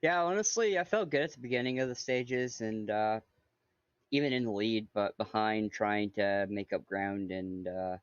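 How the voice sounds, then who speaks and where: Low, smooth-voiced driver, dull chat audio. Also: male voice, moderate pace, no echo. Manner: apologetic, hesitant, many fillers. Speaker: sim racing driver, second place. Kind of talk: casual post-race interview.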